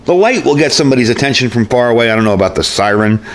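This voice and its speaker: A man's voice: medium pitch, lively intonation, racing. A man giving a casual, chatty product review with informal, folksy phrasing. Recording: clear background noise.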